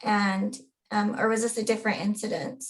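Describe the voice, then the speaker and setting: A woman hosting a conversational interview, asking gentle, halting questions with fillers. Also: medium pitch, level tone, low-quality recording. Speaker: hypnotherapist and interview host.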